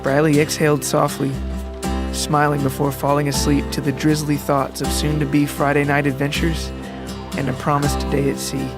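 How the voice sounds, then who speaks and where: A man reads scripted narration as host of a story podcast. His voice is slightly high and stays fairly even in pitch, over clearly audible guitar music and rain. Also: normal speed.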